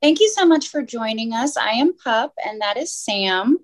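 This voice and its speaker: A woman's voice: a little high, animated, moderate pace. Casual woman co-host, chatty and a little hesitant when asking questions. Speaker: podcast co-host. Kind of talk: casual interview.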